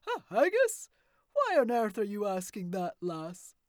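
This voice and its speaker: A booming old hermit of the woods, loud and hearty, swinging his voice up and down, as a woman puts him on. The sound is close and dry. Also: huge pitch swings, measured pace, no echo. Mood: jovial.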